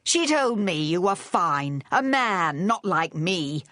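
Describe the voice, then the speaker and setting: Woman putting on an unhinged, bitter man, pitched up. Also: sing-song, slow pace, bright, forceful tone, no room sound, studio quality, full character voice. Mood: anguished, bitter. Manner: snarling, furious breathy rush. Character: deranged, bitter, frail man bent on revenge.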